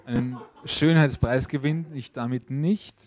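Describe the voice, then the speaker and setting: A man's voice: medium pitch, steady tone, a little slow, darkish tone, dry recording. Man interpreting a cooking class. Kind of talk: consecutive interpreting into German.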